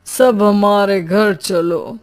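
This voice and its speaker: A woman voicing a sceptical, level-headed young man who reasons with others in an animated story. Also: medium pitch, very slow. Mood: firm, reasoning.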